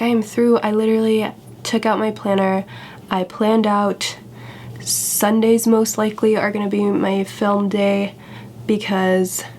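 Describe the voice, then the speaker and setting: A woman's voice: medium pitch, slight background noise. A young woman vlogger sounding congested from a cold, talking in a chatty, candid, self-deprecating way. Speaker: YouTuber. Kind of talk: casual vlog chat.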